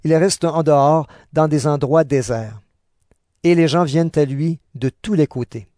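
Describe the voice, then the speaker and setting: A man's voice: fairly high pitch, unhurried, mellow, no room sound, clean studio sound. A man reading scripted narration for a dramatized audio Bible. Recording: narration over clear background music.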